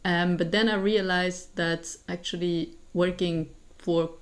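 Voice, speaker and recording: Woman from Switzerland, forties, somewhat low voice, earnest, thinking aloud, many fillers. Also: steady tone, takes it slowly. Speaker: guest, assistant professor and founder. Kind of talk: conversational interview answers.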